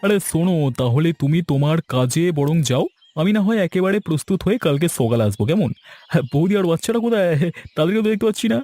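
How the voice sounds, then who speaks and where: A man's voice: a little high, lively intonation, fast, dark tone, no room sound, close to an everyday voice. A man voicing an eager, talkative younger village man in a cartoon, with a pleading manner. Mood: earnest. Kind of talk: cartoon dialogue acting.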